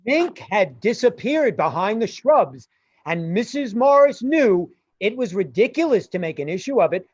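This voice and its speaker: A man's voice: high voice, sing-song, normal speed. A man narrating a radio play in a dramatic storytelling style. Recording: dull, roomy amateur recording.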